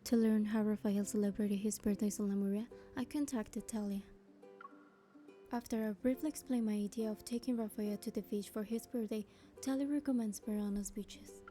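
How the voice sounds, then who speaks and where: An actress playing a gentle young heroine who narrates her romance game story in a measured way. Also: medium pitch, steady tone, faint music behind, close to an everyday voice. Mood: warm, thoughtful. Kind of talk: scripted first-person narration.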